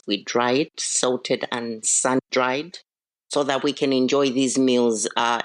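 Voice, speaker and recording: A middle-aged woman from Zambia, a podcast guest, explaining things in a measured, conversational way with frequent fillers. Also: very deep voice. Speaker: podcast guest, diplomat's spouse. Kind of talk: conversational interview.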